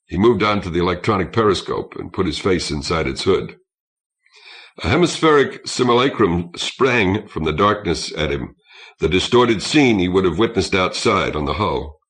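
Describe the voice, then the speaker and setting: Low-voiced man narrating science fiction. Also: expressive, normal speed, fairly bright tone. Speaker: audiobook narrator.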